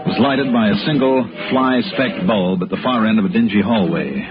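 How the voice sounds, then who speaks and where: A man's voice: lowish voice, normal speed, close to an everyday voice. An American actor playing a shrewd, dry, unflappable insurance investigator who narrates his case in 1960s radio noir style. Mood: matter-of-fact narration. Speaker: lead actor in a radio detective serial. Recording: dull old radio broadcast, music behind.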